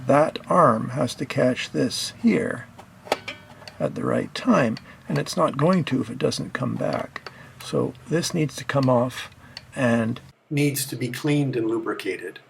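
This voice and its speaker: A man with a gravelly voice plainly and methodically narrating a hands-on repair as the host of a hobby video. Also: mid-range voice, takes it slowly, a little hiss or hum.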